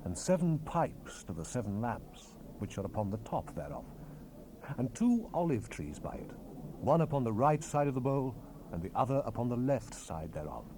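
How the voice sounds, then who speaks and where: A man narrating scripture in a solemn, measured, theatrical manner, as part of a dramatized Bible recording. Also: mid-range voice, very animated. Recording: hissy 1970s cassette rip.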